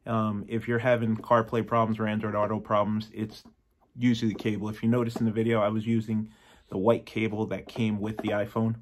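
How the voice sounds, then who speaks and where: A man's voice: on the low side, little pitch movement, brisk, a little hiss or hum. A man in his forties, speaking casually and matter-of-factly from a garage workshop into a phone camera, with frequent ums. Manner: plain-spoken, matter-of-fact, with frequent ums and uhs. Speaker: motorcycle audio shop owner. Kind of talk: casual product demo and review. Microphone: phone camera at arm's length.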